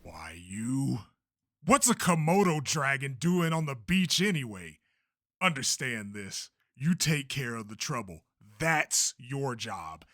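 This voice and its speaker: A man's voice: mid-range voice, very animated, slow pace, fairly bright tone, dry recording, studio quality. A man voicing a blustering older race promoter in a comic dub, theatrical, bossy and emphatic. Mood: irritated, bossy. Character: blustering older male race promoter, secretly fearful showman. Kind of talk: comic-book dub voice acting.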